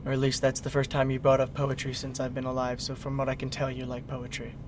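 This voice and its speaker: A man playing a naive, newly self-aware artificial being, puzzled and quick to interject, in a comic sci-fi radio play. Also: mid-range voice, monotone, background noise, natural voice. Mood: puzzled, agreeable.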